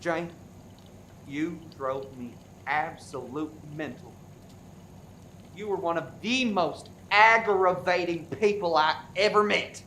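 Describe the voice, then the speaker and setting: A bright, forceful, indignant husband played by an actor in a radio farce, quick to accuse and defend himself. The room rings around the voice, with faint noise. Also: medium pitch, roomy sound, low-quality recording. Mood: bitter affection. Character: touchy, accusing widowed husband named Nick, feuding and defensive. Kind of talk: farce radio play acting.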